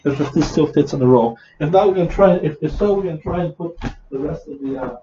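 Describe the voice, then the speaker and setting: A man's voice: on the high side, fast, dark tone, lo-fi sound. A man with a scratchy voice, rambling through a casual vlog with many restarts and fillers. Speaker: vlogger.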